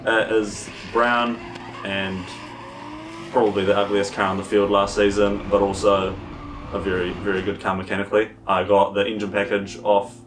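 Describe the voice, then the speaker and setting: A man's voice: on the low side, flat delivery, normal speed, background noise. A man in his twenties telling his story in a documentary interview, chatty with dry humour. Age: 20s. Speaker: drift driver interviewee. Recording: distant mic with music bed. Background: guitar music.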